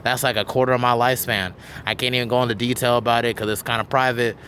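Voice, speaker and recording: A man's voice: mid-range voice, level tone, fast talker, fairly bright tone, slight background noise. A man in his twenties hosting a podcast, chatting casually and telling his own story in an earnest, talkative way. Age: 20s. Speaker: podcast host. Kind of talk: casual interview chat.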